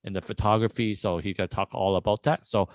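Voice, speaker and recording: Smooth-voiced low male podcast host. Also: brisk, no room sound. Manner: relaxed, rambling, many fillers. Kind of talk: casual podcast interview.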